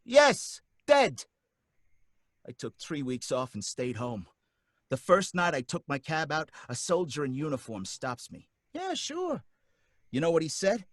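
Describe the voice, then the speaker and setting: An actor playing a jittery working-class cab driver in an old-time radio drama, pleading and excitable with folksy slang. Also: male voice, fairly high pitch, unhurried, no room sound, clean studio sound, full character voice. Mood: rattled recounting.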